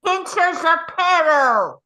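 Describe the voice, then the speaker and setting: A woman playing an excited young boy inventor who calls out in drawn-out shouts, in a script table read. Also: extremely high pitch, full character voice, poor audio. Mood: excited shouting. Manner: drawn-out, calling out.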